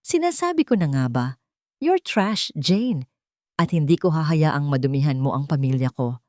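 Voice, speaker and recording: A woman's voice: low-pitched, animated, normal speed, warm tone, no echo. Woman reading as a haughty rich schemer, contemptuous, dry close sound. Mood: spiteful. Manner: contemptuous, bitter.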